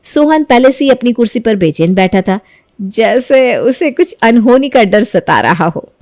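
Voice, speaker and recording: A woman's voice: a little high, animated, unhurried, a little mellow, dry recording, clean studio sound. A woman narrating a scripted moral story as its storyteller.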